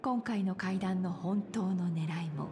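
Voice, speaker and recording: A woman's voice: medium pitch, a little slow, close to an everyday voice. An actress playing an elegant, composed senior businesswoman in a game, with a teasing, coaxing manner. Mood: sly, composed. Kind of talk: Japanese game voice acting. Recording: music behind the voice.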